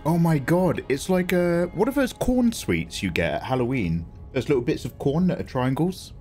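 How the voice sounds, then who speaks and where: A man with an English accent, chatting excitably on his live stream and swearing freely. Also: on the high side, moderate pace, soft background music. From England. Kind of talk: casual live-stream chat.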